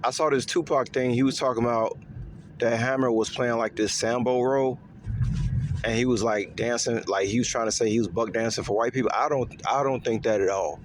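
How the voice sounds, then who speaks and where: A man talking in a casual, rambling monologue to his viewers, repeating himself with lots of fillers and letting his voice swing higher and lower. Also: brisk, slight background noise. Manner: pitch swings up and down. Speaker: vlogger.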